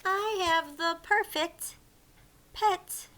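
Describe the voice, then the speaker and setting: A woman's voice: very high voice, extremely slow, sharp tone, pitched above the performer's own voice, exaggerated voice. A woman voicing an eager, wide-eyed little male goldfish in a children's picture book, given to exclaiming. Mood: delighted.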